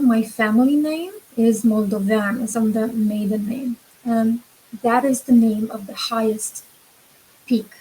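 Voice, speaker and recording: A woman of about forty from Romania with a Romanian accent, speaking reflectively and deliberately in a personal monologue to camera, pausing between short phrases. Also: fairly high pitch, mellow, low-quality recording. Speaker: relationship coach and teacher.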